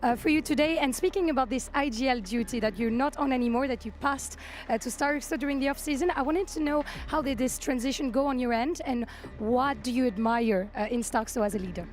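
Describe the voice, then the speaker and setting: High-voiced woman stage interviewer. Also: a little fast, hardly any pauses. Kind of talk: post-match player interview.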